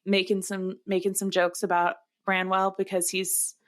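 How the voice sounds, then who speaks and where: A woman's voice: mid-range voice, completely flat delivery, moderate pace. A woman co-hosting a podcast, chatting casually about books in a conversational style. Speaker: podcast co-host. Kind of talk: casual book chat.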